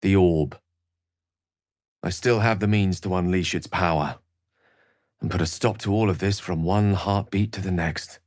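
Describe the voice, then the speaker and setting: A man's voice: low voice, measured pace, pauses often, darkish tone, no room sound, studio quality. An actor playing an eloquent, learned wizard in a video game, articulate and bookish, wry and earnest in his florid phrasing. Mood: grave, resolute. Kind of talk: scripted video game character dialogue.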